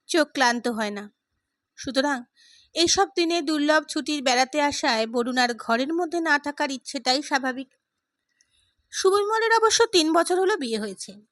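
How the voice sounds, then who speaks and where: A woman narrates a scripted short story. Her voice sits clearly high in pitch, and the recording is dry and close, with very little room sound. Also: a bit bright, dry recording. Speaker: audiobook narrator.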